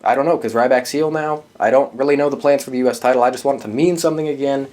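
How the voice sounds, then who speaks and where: A man's voice: medium pitch, racing, a little mellow. A man hosting a podcast, giving casual, opinionated commentary in an informal, emphatic fan's way with some swearing. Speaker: podcast host giving wrestling predictions.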